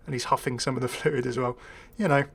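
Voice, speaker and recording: A man's voice: medium pitch, fairly even intonation, fast, creaky, slightly rough. A man hosting a gaming video with casual, unscripted commentary. He is chatty and hesitant, thinking aloud and dropping wry asides.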